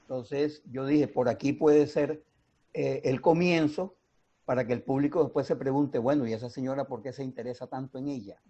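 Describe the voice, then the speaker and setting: A man with a Colombian Caribbean accent explaining things in a didactic, deliberate way with pauses, as in an interview. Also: medium pitch, steady tone, dark tone. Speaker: radio drama writer and mentor. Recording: dull, muffled lo-fi sound.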